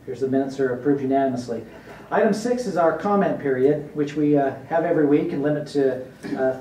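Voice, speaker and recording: A man chairing a council meeting in formal procedural language, speaking haltingly with many pauses. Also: dark tone. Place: large indoor council chamber. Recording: roomy, distant council chamber pickup, faint rumble.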